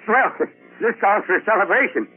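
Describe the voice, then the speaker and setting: An actor playing a jovial older father in a vintage radio drama, folksy and drawn-out in his delivery. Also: high voice, slightly rough. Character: jovial, folksy old navy-family father. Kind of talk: 1950s radio drama acting. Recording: muffled old radio broadcast, music behind.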